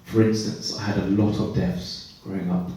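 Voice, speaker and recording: A man on a conference panel telling his own story, reflective and pausing mid-thought. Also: deep voice, little pitch movement, dark tone, a little hiss or hum. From Britain. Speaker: panelist, care leaver. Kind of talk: personal testimony on a panel. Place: conference hall. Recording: echoey hall, voice far from mic.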